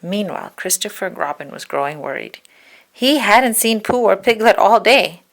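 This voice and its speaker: Woman, storybook read-aloud, creaky voice, medium pitch, expressive narration. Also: normal speed, low-quality recording. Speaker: storybook reader.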